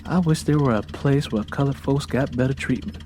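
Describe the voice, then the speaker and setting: A man voicing a weary, plainspoken young pregnant farm wife in an audiobook, with a plaintive, gently challenging manner. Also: medium pitch, brisk, a little hiss or hum, close to an everyday voice. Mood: weary, complaining. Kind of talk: dramatized audiobook character dialogue.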